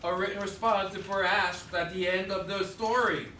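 A man's voice: high-pitched, steady tone, moderate pace. A man, a third grade teacher, giving classroom instruction in a projected, directive way with short prompts and call-and-response. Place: school classroom, indoors. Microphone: distant camera at back of room. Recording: echoey classroom, distant mic, faint noise.

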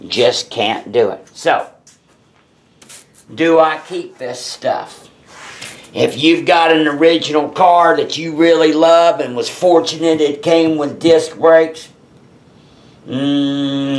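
Slow, blunt man talking shop. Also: mid-range voice. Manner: blunt, plain-spoken, deliberate. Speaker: parts seller explaining brakes. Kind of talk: casual shop talk to camera. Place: indoor parts workshop.

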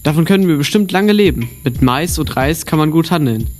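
A man's voice: a little high, expressive, brisk, darkish tone, slight background noise, close to an everyday voice. A male performer playing a practical, hopeful teenage boy survivor in an amateur audio drama. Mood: hopeful planning.

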